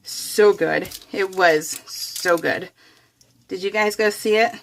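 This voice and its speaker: A woman's voice: medium pitch, slow, gravelly, slight background noise. Chatty middle-aged American woman. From United States. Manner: chatty, rambling, exclamatory, often giggling. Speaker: craft vlogger. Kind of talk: casual chatty voice-over while scrapbooking.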